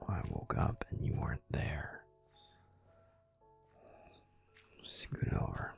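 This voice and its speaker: A man plays a grumpy, protective werewolf boyfriend, whispering close to the microphone in a bedtime audio roleplay. The voice is gravelly and breathy, drawn out slowly with lively swings of pitch. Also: full character voice. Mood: grumbling. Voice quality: gruff and soft whispering. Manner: fussy protective nagging, then soothing.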